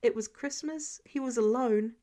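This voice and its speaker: A woman reading scripted fiction aloud as an expressive audio story narrator. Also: on the high side, moderate pace. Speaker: podfic narrator.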